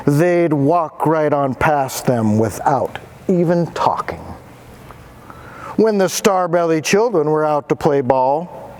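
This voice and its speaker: Man, teacher, picture book read-aloud, slow, lively pitch, fairly high. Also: clean tone. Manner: animated, dramatic reading voice.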